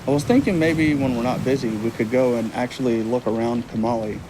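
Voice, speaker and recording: A man playing an easygoing, upbeat young friend with a casual, gentle manner in an audio drama. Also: medium pitch, a little fast, natural voice. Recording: noisy ship and water ambience.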